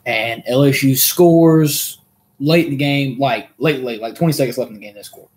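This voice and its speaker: A man co-hosting a podcast in casual chat, telling stories in a rambling way with quick asides. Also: mid-range voice, darkish tone. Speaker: podcast co-host.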